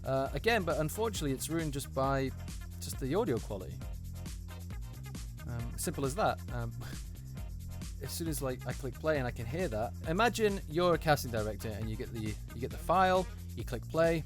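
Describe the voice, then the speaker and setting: A man's voice: medium pitch, expressive, moderate pace. A man speaking as a voice actor in an unscripted, casual critique, hesitant and repeating words for emphasis, in a treated recording booth. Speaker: voice actor reviewing auditions. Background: music.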